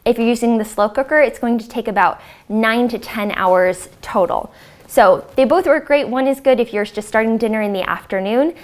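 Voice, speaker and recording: American lady in her 30s walking thru a recipe, kinda high voice, casual step by step. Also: moderate pace. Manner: clear step-by-step explaining. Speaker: cooking show host. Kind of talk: casual recipe demonstration to camera. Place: home-style kitchen, indoors. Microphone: lapel mic.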